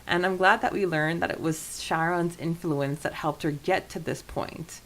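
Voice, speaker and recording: A woman's voice: on the low side, moderate pace, rough recording. A woman co-hosting a podcast, talking in a chatty, informal way about a book. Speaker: podcast co-host. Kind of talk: casual book discussion chat.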